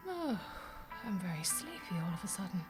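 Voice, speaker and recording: An actress playing a highly strung, prim female office manager, fretful and dramatic, in a comedy audio drama. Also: sing-song, moderate pace, a little mellow, soft background music, natural voice, poor audio. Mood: drowsy, wavering.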